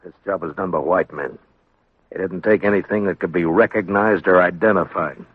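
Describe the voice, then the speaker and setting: Dull old radio sound, stern frontier marshal, low male voice, terse, authoritative, steady. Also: normal speed, breathy edge, no echo. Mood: grim, reasoning. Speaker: lead actor and narrator. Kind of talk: 1950s western radio acting and narration. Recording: dull vintage radio recording.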